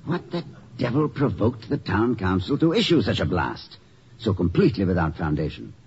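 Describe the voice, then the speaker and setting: An actor playing a witty, middle-aged college president in a 1950s radio comedy, articulate and wry, given to hemming and bluster. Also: male voice, fairly low pitch, big pitch swings, moderate pace, darkish tone, husky, full character voice. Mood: indignant. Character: witty, indignant, erudite male college president. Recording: muffled, faintly noisy 1950s radio recording.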